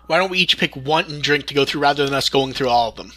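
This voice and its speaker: An American man from California hosting a casual podcast, animated and casually profane. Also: medium pitch, quick pace. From California, United States. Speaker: podcast host.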